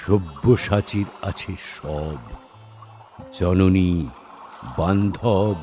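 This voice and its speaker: A man's voice: low voice, extremely slow, warm tone. A man voicing a proud, weary, doomed warrior prince in a solemn, declamatory recitation of an epic verse drama. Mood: weary, sorrowful. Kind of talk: dramatic Bengali poetry recitation with dialogue. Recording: studio voice over background music. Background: background music.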